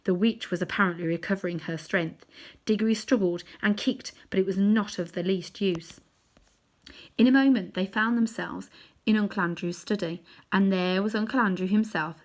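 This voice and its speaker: A woman reading a children's novel aloud in chapters, adding gentle asides to her listeners. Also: on the low side. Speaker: storybook reader.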